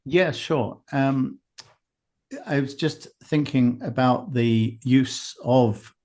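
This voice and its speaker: A man's voice: medium pitch, very slow, a little mellow. A man hosting a podcast, asking interview questions with some hesitation and fillers. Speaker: podcast host.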